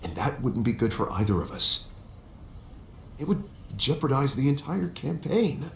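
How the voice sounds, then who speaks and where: An American actor playing a harried but loyal district attorney in a video game, speaking emphatically in blunt, colloquial language. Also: male voice, mid-range voice, big pitch swings, moderate pace, vocal fry. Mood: worried. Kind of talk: video game voice acting, cinematic dialogue. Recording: roomy, noisy ambience behind.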